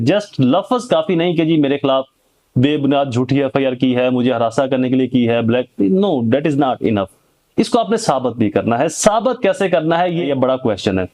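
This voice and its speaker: A man's voice: on the high side, darkish tone. A man with a Pakistani accent giving an informal, emphatic legal lecture to viewers, mixing in English legal terms and posing rhetorical questions. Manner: emphatic, poses rhetorical questions and answers them. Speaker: advocate and legal explainer.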